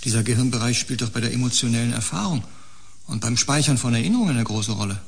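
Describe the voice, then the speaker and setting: Creaky, inquisitive male scientist. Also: medium pitch, fast. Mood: curious, concerned. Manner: inquisitive, musing. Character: curious, skeptical male scientist colleague. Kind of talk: audio drama acting.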